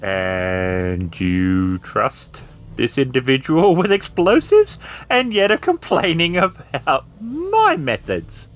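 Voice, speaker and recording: Smug robot villain, voiced by a male game master, taunting and theatrical, pitch leaping dramatically. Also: very animated, slow pace, a bit bright. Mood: scornful, goading. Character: villainous robot with a copied mind, smug, misanthropic.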